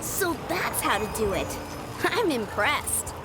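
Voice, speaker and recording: An eager young knight in training, speaking with earnest enthusiasm and a flustered edge. Her voice sits a little high and swings widely in pitch. Game music plays behind her. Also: sing-song, slow pace, full character voice. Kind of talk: video game voice acting.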